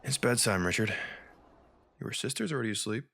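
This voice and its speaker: A man's voice: on the low side, expressive, brisk, vocal fry, sharp tone, breathy edge, full character voice. A man playing a weary, unsettled married newspaperman and father in a comic dub, speaking hesitantly. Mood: fond, teasing. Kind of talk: dramatic comic dub acting.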